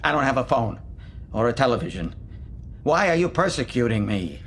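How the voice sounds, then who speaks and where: An actor playing a reclusive, anxious and lonely hermit in an audio drama, speaking haltingly in short plaintive sentences. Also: male voice, medium pitch, very animated, fairly bright tone, slight background noise. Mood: plaintive, weary.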